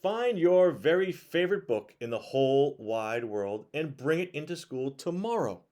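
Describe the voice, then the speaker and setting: Man as storybook schoolteacher, woman, brisk, encouraging, emphatic, lively pitch.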